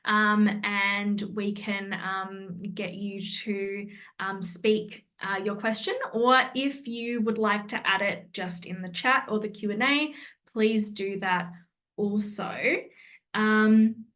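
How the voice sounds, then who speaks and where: A woman moderating an online session in an informal way, inviting and asking questions with hesitant pauses between phrases. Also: mid-range voice, steady tone, fairly bright tone. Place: online video call.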